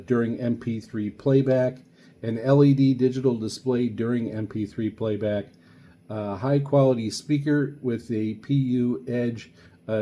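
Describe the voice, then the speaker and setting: A man hosting a casual product unboxing, reading aloud and commenting in a halting way with frequent uhs and pauses. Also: mid-range voice, warm tone. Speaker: radio hobbyist reviewer, video host.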